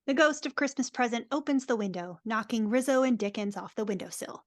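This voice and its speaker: A woman's voice: fairly high pitch, brisk, dry recording, clean studio sound. A woman narrating a script in a live dramatic reading. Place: remote video call at home. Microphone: video call microphone.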